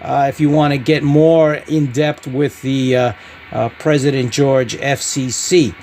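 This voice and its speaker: A man hosting a podcast-style product review, speaking in short, halting phrases. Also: mid-range voice, flat delivery, a little mellow, a little hiss or hum. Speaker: podcast host reviewing CB radios.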